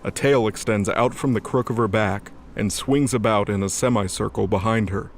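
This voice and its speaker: Low-voiced man narrating a drama. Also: moderate pace, a little hiss or hum. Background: music.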